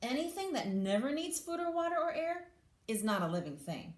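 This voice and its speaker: A woman's voice: sits high, sing-song, a little fast. A woman teacher reading a picture book aloud to children, adding simple explanations and questions for her young listeners. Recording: echoey room sound.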